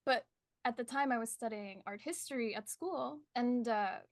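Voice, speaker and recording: A young woman from Colombia with an American accent, a painter chatting as a podcast guest, friendly and quick to laugh. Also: fairly high pitch, dry recording, clean studio sound. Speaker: artist guest. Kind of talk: casual interview chat. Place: her art studio.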